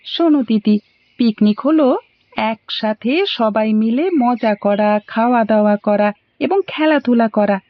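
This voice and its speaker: A woman's voice: on the high side, unhurried, dry recording, natural voice. A woman voicing a cheerful, helpful little schoolboy in a cartoon, with a Bangladeshi accent. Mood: eager, explaining. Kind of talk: animated cartoon dialogue. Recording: dull lo-fi audio.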